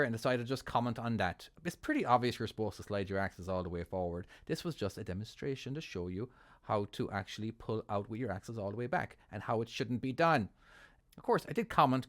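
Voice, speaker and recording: An Irish man in casual commentary, rambling and self-deprecating, laughing as he goes. His voice sits at an ordinary middle pitch. The sound is dry and close, with no room around it. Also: no echo. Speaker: trucking channel host.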